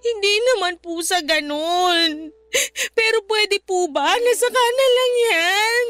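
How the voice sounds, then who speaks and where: A woman's voice: very high-pitched, slow, fairly bright tone, dry recording, heavily acted. An actress playing a distressed wife and mother in her thirties, tearful and pleading with her own mother, in a radio drama. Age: 30s. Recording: radio drama with music bed.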